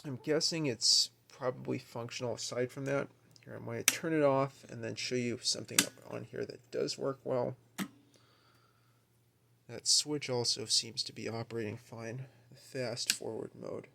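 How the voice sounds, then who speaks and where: Gravelly-voiced man, casually thinking aloud. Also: medium pitch, steady tone, normal speed, noisy background. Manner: hesitant, musing, thinking aloud. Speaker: amateur video maker demonstrating equipment. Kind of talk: casual unscripted demonstration. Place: carpeted room at home, indoors.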